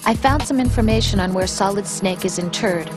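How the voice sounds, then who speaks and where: Casting: female actor as young support analyst, mid-range voice, composed and factual, game dialogue under loud music. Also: medium pitch, fairly quick, lightly acted. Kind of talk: video game cutscene voice acting.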